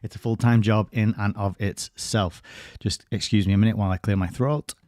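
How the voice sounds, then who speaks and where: A man's voice: fairly low pitch, fairly even intonation, fairly quick, a little mellow, dry recording. A man hosting his own live-streamed commentary show, talking in a rambling, conversational way with wry asides, close to a desk microphone.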